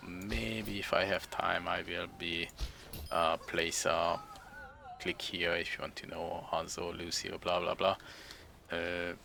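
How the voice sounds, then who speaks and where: Low gravelly Hungarian-accented man. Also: flat delivery, takes it slowly. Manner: rambling, chuckling, self-deprecating. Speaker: game streamer. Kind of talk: casual gameplay commentary.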